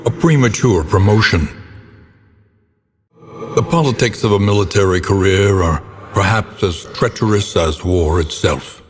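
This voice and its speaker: A man playing a grim, solemn narrator of dark gothic game tales, speaking in theatrical, ornate phrasing. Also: deep voice, measured pace. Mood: grim, ominous. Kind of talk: dramatic scripted gothic narration. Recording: long reverberant tail, clear background noise.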